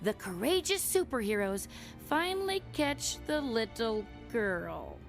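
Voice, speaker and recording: A woman playing a sinister, mocking robot disguised as a little girl in an animated video game. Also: a little high, unhurried, creaky, soft background music, full character voice.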